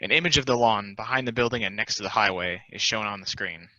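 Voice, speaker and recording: A man's voice: lowish voice, quick pace, bright, forceful tone, no echo, lo-fi sound. A man reading stage directions as a narrator in plain scripted narration.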